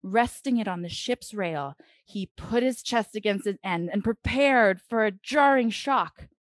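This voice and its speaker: Slow, expressive woman storyteller. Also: medium pitch, no room sound. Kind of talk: dramatic children's storybook reading.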